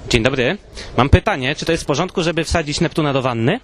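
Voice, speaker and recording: A man's voice: a little high, fast talker. A man hosting a podcast, casually putting questions to passers-by in street interviews and bantering. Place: outdoors on a busy city street. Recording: noisy outdoor street with people around.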